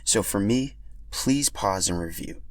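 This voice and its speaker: Male podcast host, scripted storytelling read, lowish voice, very dry recording. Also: animated, a little slow, no echo. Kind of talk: scripted narration.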